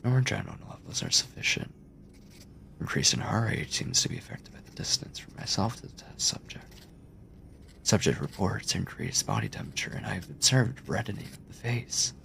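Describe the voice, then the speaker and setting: A man playing an obsessive mad scientist captor in a horror ASMR roleplay, coldly doting and clinical, with condescending endearments, theatrical pauses and a breathy, husky voice. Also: low voice, very animated, fairly bright tone, a little hiss or hum, full character voice. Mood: clinical, detached. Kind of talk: horror ASMR roleplay, intimate monologue to listener.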